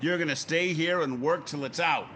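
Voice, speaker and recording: An actor playing a brisk, commanding newspaper editor in a 1950s-style radio drama, crisp and authoritative. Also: male voice, on the high side, sharp tone, slight background noise. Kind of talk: 1950s radio drama acting with first-person narration.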